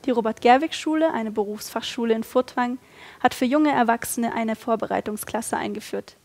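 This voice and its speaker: A young woman presenting a campus news magazine in a clear, measured scripted read. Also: fairly high pitch, a little mellow.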